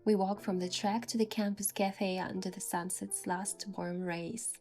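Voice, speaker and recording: A woman reading scripted first-person story narration as the heroine, with a gentle, sentimental delivery. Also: medium pitch, fairly even intonation, measured pace, faint music behind.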